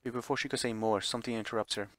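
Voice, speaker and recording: A man with a medium-pitched voice narrates a visual novel on stream. His read-aloud delivery is dramatic but unpolished, with stumbles and repeated phrases. The sound is dry and close. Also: steady tone, rapid-fire, fairly bright tone, dry recording. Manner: stumbles over words and re-reads phrases.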